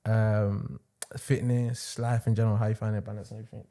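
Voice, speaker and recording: A young man hosting a casual podcast interview as a fitness coach, relaxed, laughing often and talking with lots of fillers. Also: fairly low pitch, fast.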